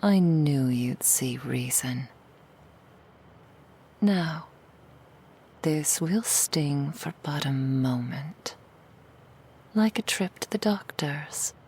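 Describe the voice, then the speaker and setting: A woman playing a seductive female demon in an ASMR roleplay, coaxing the listener in a breathy whisper with soothing, insidious persuasion. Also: deep voice, expressive, drawn-out words, pauses often, a little mellow, slight background noise, exaggerated voice. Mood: coaxing, assured. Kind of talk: ASMR roleplay, intimate monologue.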